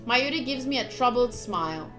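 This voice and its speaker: A young woman streaming a visual novel, reading the text aloud with casual, gushy, expressive commentary. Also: fairly high pitch, moderate pace. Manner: expressive, gushy, slips into cute exclamations. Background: soft game music.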